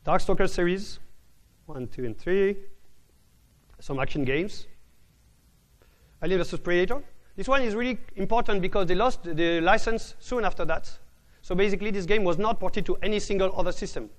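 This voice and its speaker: A man with a fairly high voice speaks from the stage at a technical conference. His delivery is flat and self-described as bored, and he often stumbles and restarts his words mid-sentence. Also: normal speed, pauses often.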